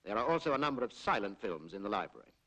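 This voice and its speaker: A British man narrating a 1940s public information film in a formal, measured, explanatory way, on a period film soundtrack. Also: on the low side, sing-song, pushed and bright, rough recording. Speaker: documentary narrator.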